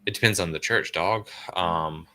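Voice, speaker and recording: A man's voice: deep voice, moderate pace, pushed and bright. A man co-hosting a movie watch party with casual, slangy joking banter and some swearing.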